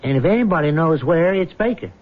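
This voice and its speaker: Highish-voiced sly small-town crook. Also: male voice, lively intonation, a little fast, phone-quality sound. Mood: scheming. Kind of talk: radio drama acting. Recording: thin band-limited old radio recording.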